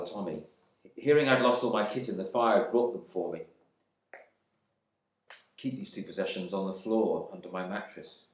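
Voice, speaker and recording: A man performing a dramatic monologue as a proud, resentful airman in prison, in clipped, brooding phrases. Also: on the low side. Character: embittered English RAF airman imprisoned in India, proud and resentful. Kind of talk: dramatic monologue reading from a novel. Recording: echoey room.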